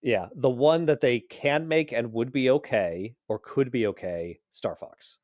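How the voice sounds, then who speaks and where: A man's voice: medium pitch, unhurried, darkish tone, no room sound. A man in casual, opinionated geek conversation on a podcast, talking bluntly. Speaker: podcast panelist.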